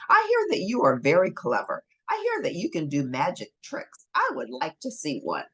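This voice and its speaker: A woman's voice: fairly low pitch, wildly swooping pitch, normal speed, husky, exaggerated voice. A woman voicing a clever, bold male cat in boots in a read-aloud story, speaking in an eager, persuasive manner. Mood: flattering.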